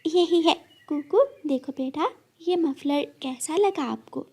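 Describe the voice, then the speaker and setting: A woman voicing a gentle, anxious mother bird in a children's cartoon, motherly and plaintive. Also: sits high, slow pace, pitched above the performer's own voice.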